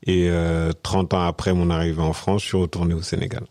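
A deep-voiced man in his forties from Senegal, talking casually and hesitantly, with many pauses and restarts. His tone is dark and mellow, and the sound is dry and close. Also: little pitch movement, dry recording. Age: 40s. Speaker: podcast guest, business consultant. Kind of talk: casual interview conversation.

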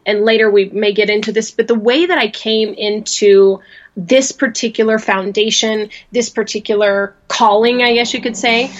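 Lo-fi, chatty, laughing woman guest. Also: fairly high pitch. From rural Louisiana, USA. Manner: rambling, laughs often, self-correcting. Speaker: podcast guest. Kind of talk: casual interview talk.

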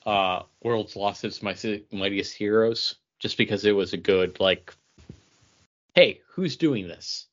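Lowish-voiced man chatting on dull audio. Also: slow. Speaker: podcast co-host. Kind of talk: casual chat.

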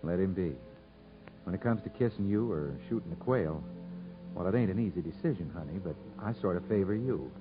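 A man's voice: low voice, lively intonation, fairly quick, music in the background. An actor playing a stubborn, folksy young country man in a 1950s radio drama. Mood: tender, easygoing. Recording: dull 1950s radio broadcast recording.

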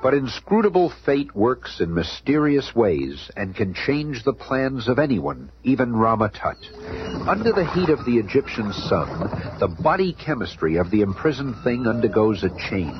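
A man's voice: medium pitch, sing-song, moderate pace, over music, natural voice. A man narrating a superhero radio serial in a dramatic, grandiose, suspenseful scripted style. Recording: dull old radio, clear noise behind.

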